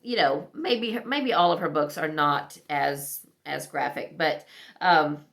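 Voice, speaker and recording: A woman with a slightly low voice gives a casual vlog-style review. She talks conversationally, as if to a friend, and often thinks aloud in halting asides. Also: gravelly, fairly bright tone. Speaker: book vlogger reviewing recent reads. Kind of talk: casual chat to camera.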